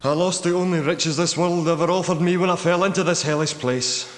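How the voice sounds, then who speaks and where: A man's voice: fairly high pitch, a little fast, lightly acted. A male actor with a Scottish accent playing a weary, wary old war veteran in a video game, guarded and plain-spoken, with echoey reverb. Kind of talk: video game character dialogue. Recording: echoey, long hall-like reverb.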